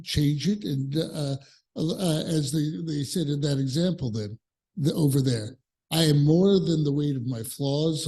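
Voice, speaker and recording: A man's voice: on the high side, vocal fry, warm tone. A man from a congregation offering informal, thoughtful commentary on a reading. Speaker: congregation member sharing a reflection.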